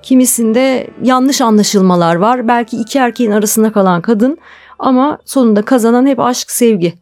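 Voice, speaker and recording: A woman's voice: a little high, normal speed, a little mellow, soft background music. A woman speaking as an interview guest, explaining her thoughts in a conversational, reflective style. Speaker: interview guest, television drama producer.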